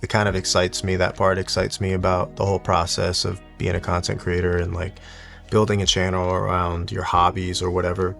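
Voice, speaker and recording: A man with a deep voice and a crackly fry talks to his viewers in a casual, reflective vlog style. His delivery is monotone and unhurried, never pushing for excitement. Faint music plays behind him. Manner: monotone, unhurried, low-key. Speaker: YouTube watch collector and content creator.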